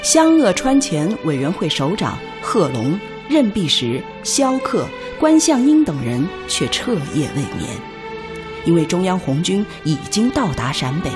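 A female radio drama narrator with a slightly low voice and widely moving intonation. She reads formally and solemnly over clear background music. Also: a little slow. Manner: formal, solemn, expressive declamation.

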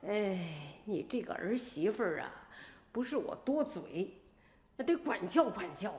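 A woman's voice: mid-range voice, sing-song, measured pace, darkish tone, roomy sound. An actress playing a kindly, meddling older village woman in a radio drama, homely and fussing as she chats. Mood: worried, advising. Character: kindly, chatty, meddling old village sister.

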